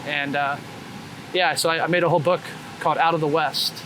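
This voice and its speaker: A man's voice: on the high side, fairly even intonation, a little fast. A man being interviewed as a street photographer, talking casually and candidly with swearing and frequent filler words like "man". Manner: informal, profane, peppered with "like" and "man". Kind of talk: casual, candid interview chat while walking. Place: outdoors on a city street. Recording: clear outdoor traffic and city noise.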